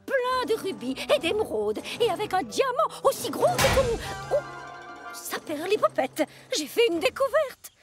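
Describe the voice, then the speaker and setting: A woman voicing an excitable, boastful female steam shovel in a children's cartoon, with a gushing, theatrical storytelling manner. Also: very high-pitched, a little slow, music in the background, heavily acted. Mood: excited. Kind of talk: animated children's cartoon acting.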